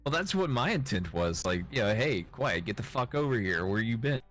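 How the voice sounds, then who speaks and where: A man playing a tabletop role-playing game, chatting in character, blunt and animated and swearing freely. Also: medium pitch, brisk, soft background music. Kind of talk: casual in-character game chat.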